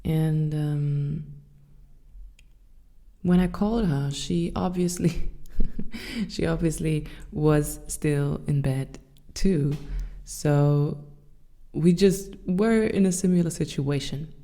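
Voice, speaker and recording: A woman in her thirties with a German accent, hosting her own podcast and speaking softly and thoughtfully with some hesitant fillers. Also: sits low, slow, long pauses. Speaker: podcast host. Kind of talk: reflective solo podcast talk.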